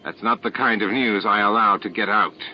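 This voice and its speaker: Low-voiced, commanding military ruler, read by a man. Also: fairly even intonation, moderate pace, lightly acted. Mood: commanding, confiding. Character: commanding, suspicious male alien military leader. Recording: dull, lo-fi cassette-like sound.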